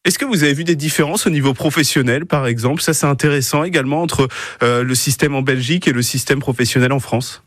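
A man's voice: medium pitch, fast, dry recording. A man hosting a radio interview, feeling his way through wordy, often rephrased questions. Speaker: radio host interviewing a guest.

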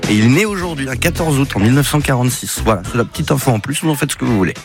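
A man's voice: medium pitch, lively intonation, rapid-fire, music in the background. A man presenting a live radio morning show in a casual, chatty way, full of conversational asides. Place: radio studio.

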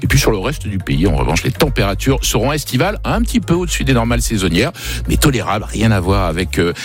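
A man giving the morning weather on radio in a relaxed, friendly way, with small hesitations. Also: lowish voice, fast. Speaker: weather presenter. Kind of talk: live radio weather report. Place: radio studio.

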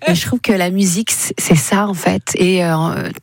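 A woman with a low, gravelly voice talks about music as a radio guest. She hesitates often and gropes for words, filling the gaps as she goes. The recording is dry and close to the mic. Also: fairly even intonation, moderate pace, no echo. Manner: hesitant, searching for words, many fillers. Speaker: singer-songwriter guest. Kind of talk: casual interview talk.

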